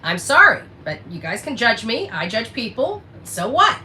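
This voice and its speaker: Female livestreamer, fairly high and very animated, blunt and defensive, casual ranting over slightly noisy audio. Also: moderate pace.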